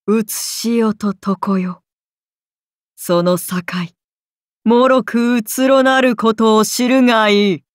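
Slow, imperious serpent goddess. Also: female voice, fairly high pitch, steady tone, no echo, clean studio sound. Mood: menacing, majestic. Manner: archaic, commanding, grandly solemn. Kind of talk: Japanese anime game voice acting.

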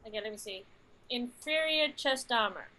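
A woman's voice: on the high side, lively intonation, moderate pace, bright, forceful tone, low-quality recording. A young woman co-hosting a gaming video, chatting casually and thinking aloud about her items while she plays. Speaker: co-host gaming with friend. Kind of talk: casual co-op gameplay chat.

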